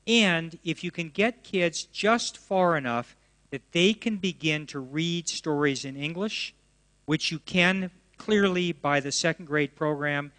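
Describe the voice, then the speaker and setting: A man answers questions from the audience with eager, rambling detail, often restarting his sentences. His voice sits fairly high for a man. The sound carries the long reverb of a large hall. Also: fairly bright tone. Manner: enthusiastic, answers at length with restarts. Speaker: presenter of educational software. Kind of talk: Q&A after a talk.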